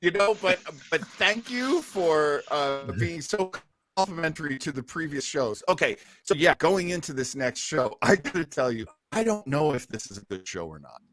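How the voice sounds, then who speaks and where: A middle-aged man hosting a radio listening show in casual panel chat, with an animated, theatrical and teasing manner. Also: on the high side, moderate pace. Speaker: host of an old-time radio listening show.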